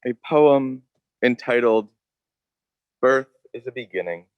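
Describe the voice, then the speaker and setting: A man officiating at a funeral, reciting a poem solemnly and deliberately, phrase by phrase. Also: medium pitch, lively intonation, no echo, lo-fi sound.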